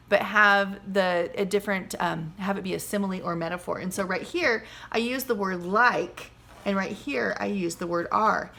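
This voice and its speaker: Bright-voiced woman teaching young children. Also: medium pitch, creaky. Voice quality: slightly scratchy. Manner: explains simply, addressing young children. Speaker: second-grade teacher. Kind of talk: teaching a lesson and reading a picture book aloud. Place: at home.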